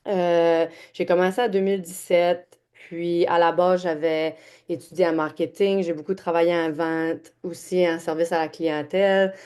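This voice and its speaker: A woman's voice: lowish voice, little pitch movement, moderate pace, mellow, smooth. A woman from the Gaspésie in Quebec with a Québécois accent, introducing herself as a real estate agent in a friendly webinar on a video call. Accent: Québécois French. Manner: chatty, self-introducing, laughs often, mixes English words. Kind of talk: webinar presentation.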